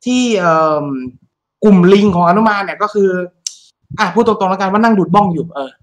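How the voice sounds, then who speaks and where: A man co-hosting a podcast, chatting casually in a crude, slangy, excitable manner. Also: high-pitched, brisk. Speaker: podcast co-host. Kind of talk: casual chat.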